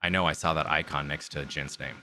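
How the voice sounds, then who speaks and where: A young man talking as a guest on a casual streamed chat show, animated and putting on quoted voices. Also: rumbling low, fairly quick, slight background noise. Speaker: podcast guest. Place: home stream room.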